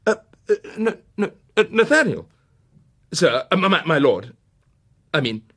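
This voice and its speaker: An actor playing a nervous, timid gentleman witness who stammers with hesitant deference. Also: a little high, big pitch swings, measured pace, husky, exaggerated voice. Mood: flustered.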